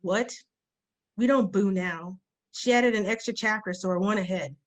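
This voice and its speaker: Orc grunt acted out, bored, contrary, casual, medium pitch, fantasy comedy table read. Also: moderate pace, natural voice. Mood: argumentative.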